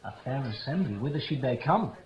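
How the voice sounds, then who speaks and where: A man's voice: medium pitch, lively intonation, a little fast, close to an everyday voice. An actor playing a brooding, lovesick young nobleman with theatrical verse delivery in a 1950s radio drama. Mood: curious. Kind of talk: 1950s radio Shakespeare acting. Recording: muffled old radio recording, crowd behind.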